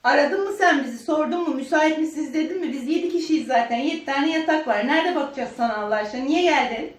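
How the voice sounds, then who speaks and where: A woman's voice: high-pitched, fast talker. A woman improvising in a comic sketch with a theatrical, bantering manner. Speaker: improv comedy performer. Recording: roomy, distant mic, noisy.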